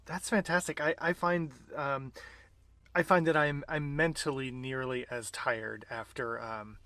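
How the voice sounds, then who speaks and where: Guy doing a casual interview, gravelly creaky voice, mid pitch, close dry sound. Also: fairly even intonation, unhurried, bright, forceful tone, dry recording.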